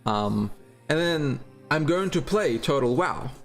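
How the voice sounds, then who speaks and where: A man's voice: a little high, expressive, slow pace, creaky, faint music behind. A man with an Australian accent chatting informally as a livestream host, with some swearing. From Turkey and Australia. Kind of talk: casual livestream chat.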